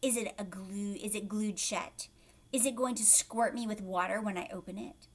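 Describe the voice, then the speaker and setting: A woman's voice: medium pitch, normal speed, natural voice. A woman voicing a wary, suspicious schoolboy in a children's storybook, questioning and exclaiming. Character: nervy, suspicious schoolboy who expects a trick.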